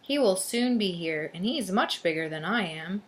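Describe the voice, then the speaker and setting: A woman's voice: on the low side, animated, normal speed, natural voice. A woman voicing a meek middle-sized male billy goat in a children's storybook, pleading and reasoning. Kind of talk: children's storybook read aloud.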